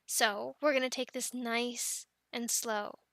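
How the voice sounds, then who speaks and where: A woman's voice: fairly high pitch, steady tone, slow pace, vocal fry, fairly bright tone, no echo, exaggerated voice. A woman playing a friendly, encouraging dragon keeper in an ASMR roleplay, chatting playfully with the listener and cooing to animals like pets. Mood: calm, encouraging.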